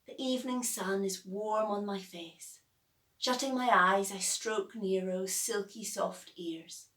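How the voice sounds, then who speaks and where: A woman narrates a children's novel with measured, expressive phrasing. Her pace is slow and her pitch is average. The room echoes around her, and she sounds slightly distant from the microphone. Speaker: author reading own novel.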